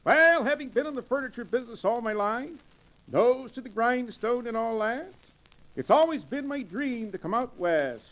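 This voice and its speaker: A pompous Western windbag from an old radio play. His voice sits very high for a man and swoops about as he rolls out grand, florid words. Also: expressive, normal speed, fairly bright tone, low-quality recording. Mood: grand, self-important. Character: pompous, wordy old Western sidekick posing as rich uncle. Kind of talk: 1940s-1950s radio drama acting.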